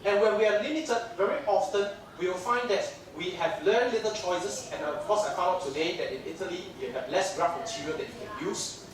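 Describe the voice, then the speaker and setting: Singaporean-accented male lecturer, chatty, high-pitched, miked from far off in a reverberant hall. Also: fairly quick, crowd noise. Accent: Singaporean English. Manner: chatty, joking, many fillers. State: jet lagged. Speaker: dental lecturer. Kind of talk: conference lecture. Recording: echoey hall mic from far off, audience noise.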